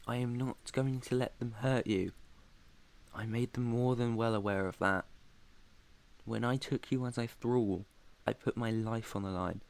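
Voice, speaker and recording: A man's voice: medium pitch, a little mellow, natural voice. A British man playing an ancient vampire master in an ASMR roleplay, proud, protective and tender, with an earnest, slightly formal way of talking. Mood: soothing, protective. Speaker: roleplay voice performer.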